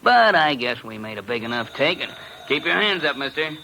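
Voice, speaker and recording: A man's voice: medium pitch, sing-song, brisk, creaky, pushed and bright, heavily acted. An actor playing a dim, chatty small-time gangster henchman in a vintage radio crime drama, slangy and simple-minded. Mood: cocky, amused. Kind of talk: 1940s-50s radio crime drama acting. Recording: noisy vintage radio recording.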